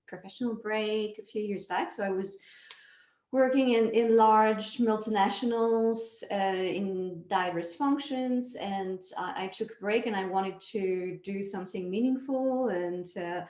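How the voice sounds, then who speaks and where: A woman from France with a French accent, chatting as an interview guest, unhurried and full of fillers. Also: mid-range voice, a little mellow. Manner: unhurried, many fillers and restarts. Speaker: guest, nonprofit founder. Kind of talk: casual interview chat. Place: home in Zurich. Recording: echoey room.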